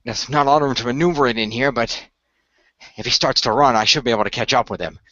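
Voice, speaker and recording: Male game master, bright forceful voice, mid pitch, improvised narration, dry muffled recording. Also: fast talker, dry recording. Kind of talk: improvised role-play narration and game talk.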